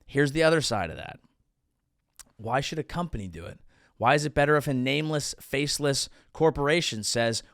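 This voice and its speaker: A man's voice: a little high, fairly bright tone, dry recording, studio quality. A man in his thirties hosting a comedy show, delivering a sarcastic monologue with mock-outraged rants. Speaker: comedian host.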